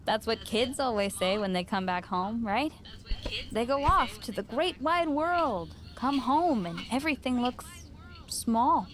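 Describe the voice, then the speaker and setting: An actress playing a frank gym owner in a video game, mentoring with dry, teasing straight talk. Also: female voice, fairly high pitch, expressive, a little slow. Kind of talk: video game cutscene dialogue.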